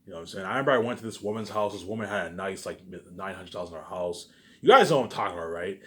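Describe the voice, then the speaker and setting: Fast-talking man in a rambling, boastful rant. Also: deep voice, expressive. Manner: repetitive, restarting phrases over and over; profane, boastful ranting with sudden loud bursts. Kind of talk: rambling spoken monologue to online viewers.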